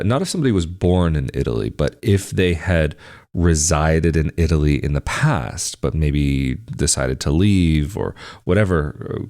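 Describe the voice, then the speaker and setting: A man co-hosting a casual talk show, speaking in a halting way with frequent restarts. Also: extremely low pitch, a little mellow. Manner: halting, many fillers and restarts. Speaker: podcast co-host. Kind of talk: casual conversational chat.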